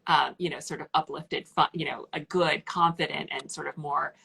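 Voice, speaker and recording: A woman author talking casually in a live-stream interview over a video call, animated and full of "like" fillers. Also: sits low, normal speed, vocal fry, slightly rough. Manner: animated and talkative, many "like" fillers. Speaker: author and illustrator guest.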